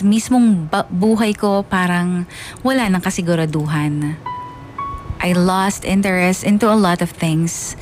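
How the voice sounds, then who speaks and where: A man's voice: sits high, lightly acted. A wounded young Filipino man, played by an actor narrates his story bitterly and confessionally. He mixes Tagalog and English over faint background music, in radio-drama style. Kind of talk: dramatised first-person letter narration.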